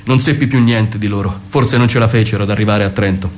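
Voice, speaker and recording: A man narrates a mock-noir comic monologue in a theatrical, deadpan way. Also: on the low side, fast talker, slight background noise.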